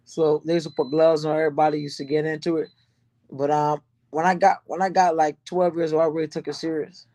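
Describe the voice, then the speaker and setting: Soft-spoken man from Barbados. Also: fairly high pitch, little pitch movement, no room sound. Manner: soft-spoken, halting with fillers and repeats. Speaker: guest, professional boxer. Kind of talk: casual interview answers.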